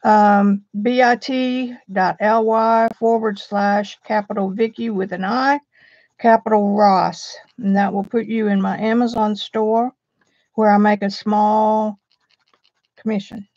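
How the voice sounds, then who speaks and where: Woman, sniffly, gravelly, mellow tone, muffled lo-fi stream audio. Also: mid-range voice, level tone, takes it slowly, dry recording. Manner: chatty, describing supplies to viewers. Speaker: art teacher vlogger. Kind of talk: casual live stream chat.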